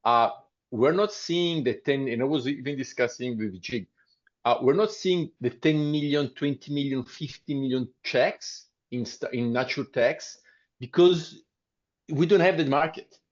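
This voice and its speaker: A man's voice: mid-range voice, a little slow. A man giving his views in an online group discussion, hesitant and restarting his sentences often. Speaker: panel participant. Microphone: video call. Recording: muffled video call audio.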